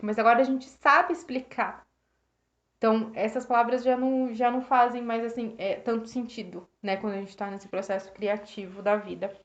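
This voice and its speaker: A Brazilian woman with a slightly hoarse voice, reflecting casually on a book for her live viewers, with many restarts, thanking people by name. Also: a little high, fairly even intonation, normal speed. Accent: Brazilian Portuguese. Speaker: host reading and reflecting on a self-help book. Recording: roomy, dull lo-fi live stream.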